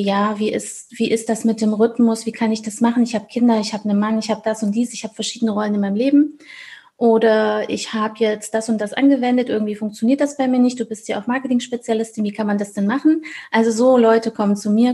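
A woman from Thuringia speaks as a guest coach on a podcast. Her voice is somewhat high, with a distinctly mellow, relaxed timbre. She explains things in loose, conversational phrases. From Thuringia, Germany.

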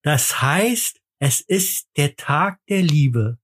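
Man, high voice, rambling, joking, slightly tipsy, casual podcast chat. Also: expressive, very slow, fairly bright tone, husky. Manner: rambling, joking, drinking during the show. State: a bit tipsy. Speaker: podcast co-host. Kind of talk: boozy casual podcast banter.

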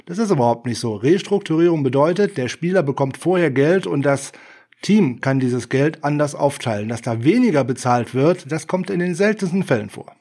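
A middle-aged man talks through football contracts on a podcast in a slightly raised voice. He is measured and explanatory and often fills pauses with hesitations as he lays out his reasoning. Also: fairly high pitch, expressive, a little mellow. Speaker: podcast co-host. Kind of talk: casual sports podcast discussion.

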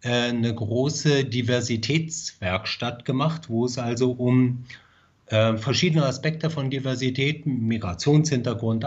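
A man answers interview questions as a screenwriter, pausing often with fillers as he thinks. His voice is of middling pitch, and the recording sounds dull and lo-fi. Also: husky. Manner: hesitant, many fillers.

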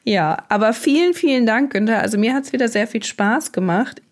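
A woman's voice: on the high side, animated, brisk. A woman hosting a podcast, thanking her guest and wrapping up the episode in a casual, upbeat way. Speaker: podcast host. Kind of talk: casual chat.

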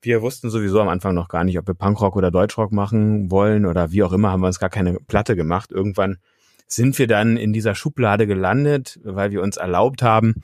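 A man in his thirties from Germany, interviewing a fellow musician in a relaxed, rambling way with lots of fillers. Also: lowish voice, a little fast, dry recording, studio quality. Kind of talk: casual interview chat.

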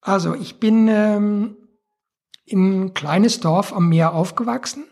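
A man in his forties from Belgium with a Belgian accent, answering interview questions in a halting way, often searching for words. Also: sits high, gravelly, a little mellow. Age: 40s. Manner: halting, searching for words with many fillers and non-native grammar. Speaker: interview guest, culture centre director.

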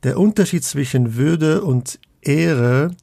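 A man's voice: fairly high pitch, expressive, slow pace, creaky, dark tone, dry recording, studio quality. A man from Morocco, a guest scholar of Islamic theology in a podcast interview, speaking hesitantly with many fillers and restarts.

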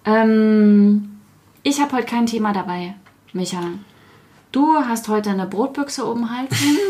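A woman's voice: a little high, measured pace, mellow. A woman hosting a casual podcast chat in a playful, informal manner. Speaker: podcast host. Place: kitchen.

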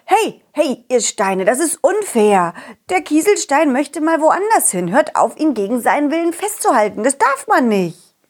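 A woman voicing a confident, loyal dog who tells an adventure to children in an expressive, chatty way. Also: high-pitched, moderate pace, voice pushed up. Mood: indignant. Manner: animated, expressive, addresses children directly. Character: confident, loyal, friendly talking dog telling his adventure. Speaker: puppeteer storyteller. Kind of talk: children's audio story, narrating to kids.

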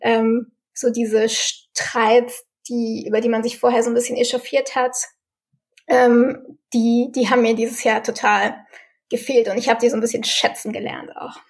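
A woman's voice: on the high side, level tone, moderate pace. A woman from eastern Germany, a podcast guest, reflecting casually and thoughtfully as she searches for words. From Thuringia, eastern Germany.

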